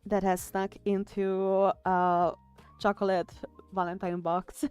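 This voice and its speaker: A woman with an Italian accent talks through a live drawing stream, her voice smooth and clear at a middle pitch. She chats easily, reads messages aloud, and often trails off with little fillers. Soft music plays faintly underneath. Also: level tone, slow. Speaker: artist streamer. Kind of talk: casual live-stream chat while drawing.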